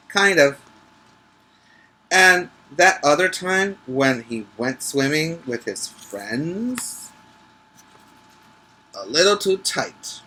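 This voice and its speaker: A man who teaches preschool, reading a picture book aloud emphatically, stretching key words and pausing to explain things to the children. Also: a little high, bright, forceful tone, poor audio. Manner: instructive, checking in with listeners.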